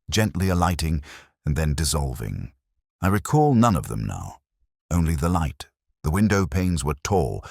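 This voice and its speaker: A man playing an ageing, weary police detective, narrating an audio drama in a measured, literary, wry and reflective way. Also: a bass voice, no echo, studio quality, full character voice.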